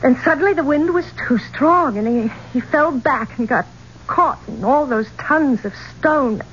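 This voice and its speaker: A woman's voice: sits high, measured pace, fairly bright tone. An actress playing a bold woman singer in a 1970s radio drama, speaking in a dramatic, emphatic way. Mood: shaken. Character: bold American woman singer cornered in an Egyptian tomb. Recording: muffled, noisy old radio recording.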